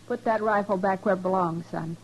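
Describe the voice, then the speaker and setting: Guarded, terse actress as frontier widow. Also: female voice, medium pitch, fairly quick, noisy background, natural voice. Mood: protective. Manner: terse, flat, rustic grammar. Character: guarded, bitter frontier widow and protective mother. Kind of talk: 1950s radio western acting.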